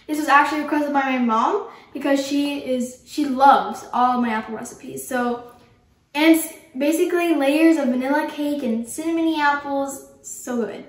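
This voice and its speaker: Bubbly teen girl with a high voice. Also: normal speed. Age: teens. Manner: bubbly, chatty, enthusiastic. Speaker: cooking show host. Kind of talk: casual cooking demo. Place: home kitchen, indoors. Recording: echoey kitchen room.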